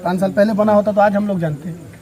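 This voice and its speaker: A man with a distinctly high voice and a Bihari accent answers questions in an unscripted interview. He is emphatic, often saying a phrase again to drive it home. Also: fast talker, mellow. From Bihar, India. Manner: emphatic, repeats phrases for stress. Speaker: local interviewee.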